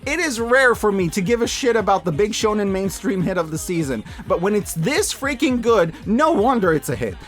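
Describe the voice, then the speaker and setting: Male review host, higher-pitched and very lively, emphatic and playful, music underneath. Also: moderate pace, hardly any pauses. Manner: emphatic, playful, colloquial, with dramatic repeats for effect. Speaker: host of a yearly media review show. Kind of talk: scripted review commentary.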